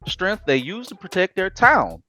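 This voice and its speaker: A talkative man hosting a casual podcast, rambling through his opinions with animated asides. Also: high voice, moderate pace. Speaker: podcast host. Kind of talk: casual chat.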